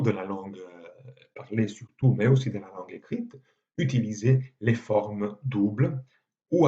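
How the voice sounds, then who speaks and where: A man with an Italian accent gives a measured university lecture in a low, mellow voice. He pauses often with small hesitations as he works through each point. The recording is dull and lo-fi.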